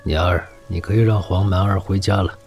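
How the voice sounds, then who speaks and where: A low, weary old warlord. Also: male voice, steady tone, a little mellow, slightly rough, soft background music. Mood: gentle. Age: older. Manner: slow, earnest fatherly counsel. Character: weary, dying old warlord father, blunt and tender. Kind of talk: audio drama acting.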